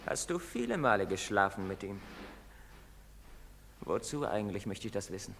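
A man's voice: fairly low pitch, very animated, moderate pace, gravelly, a bit bright, a little hiss or hum, exaggerated voice. An actor playing a bitter, hunted young man in a German stage drama, brooding and breaking into anguish. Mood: bitter, resigned. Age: young adult. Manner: brooding, swinging into anguished outbursts. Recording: reverberant theatre hall recording.

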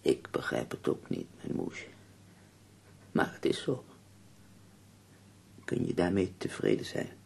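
A man acting in a Dutch radio play as an ailing, nearly blind poet, husky and gravelly, formal, tender and wry. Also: sits low, big pitch swings, slow, long pauses, darkish tone, heavily acted. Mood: tender, weary.

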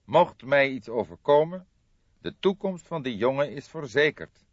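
Dull, close audiobook sound carries a nervous, distraught man, acted out by a male narrator. His pitch is lifted well above the reader's and swings widely as he pleads his case under questioning. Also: very animated, measured pace, no echo. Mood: earnest. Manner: shocked, sobbing, lisping outbursts. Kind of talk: audiobook character voice.

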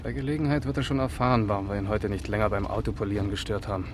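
An actor playing a shrewd, clipped, ironic police investigator in a 1970s German radio crime drama. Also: male voice, fairly low pitch, steady tone, very fast, natural voice. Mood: dryly ironic. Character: dry, shrewd male police investigator, senior officer. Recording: clear car noise behind.

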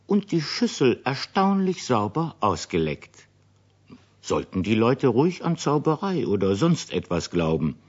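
A man narrating a children's radio play as a storyteller with a husky voice. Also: on the low side. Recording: dull, lo-fi old radio recording.

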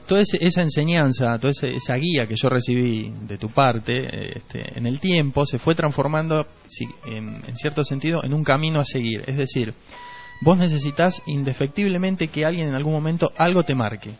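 A man's voice: on the high side, normal speed. An Argentine man with a Rioplatense accent being interviewed as a musician, speaking reflectively and earnestly, rephrasing as he goes. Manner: earnest, rephrases and repeats for emphasis. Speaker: musician and producer, radio guest. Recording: dull lo-fi radio, faint music behind.

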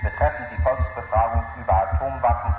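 A man's voice: mid-range voice, fairly even intonation, brisk, a little mellow, lo-fi sound, faint music behind, natural voice. A man as a formal official, solemnly reading out legal rulings in a stiff announcing manner.

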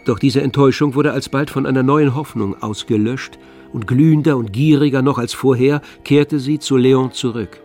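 An actor playing the all-knowing narrator of a literary tragedy in a radio drama, telling it in a measured, expressive way. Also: male voice, mid-range voice, natural voice. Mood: grave.

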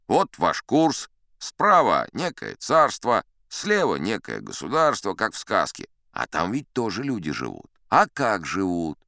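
A man's voice: low-pitched, sharp tone, smooth, no room sound, clean studio sound, full character voice. An actor playing a boastful old sea captain who spins yarns in a pompous, chatty, theatrical storytelling manner, savouring his words. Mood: persuasive, jaunty. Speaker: audiobook actor narrating in character. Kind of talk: theatrical storytelling in an audio play.

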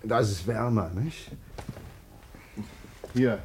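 Chatty hairdresser, rambling storyteller. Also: male voice, fairly low pitch, slow pace, darkish tone, a little hiss or hum. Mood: wistful, deferential. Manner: rambling, hesitant, colloquial storytelling. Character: chatty self-excusing middle-aged Berlin hairdresser, sentimental storyteller. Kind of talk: German radio play acting.

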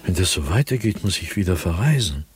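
An actor playing a tormented man sliding into madness, recounting his nightmares as a diary in a radio drama, in a brooding, halting manner that swings between hushed and agitated. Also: low voice, lively intonation, husky, faint music behind, heavily acted. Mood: haunted, agitated. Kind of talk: dramatic radio play monologue.

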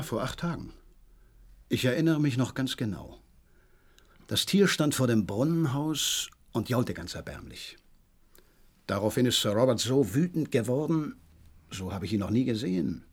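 A respectful estate employee in a radio drama. His voice is medium in pitch. He reports in an earnest, polite and precise way, readily giving detail. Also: animated, measured pace, long pauses, breathy edge. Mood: earnest recollection. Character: respectful, forthcoming estate employee answering questions. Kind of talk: German radio play acting.

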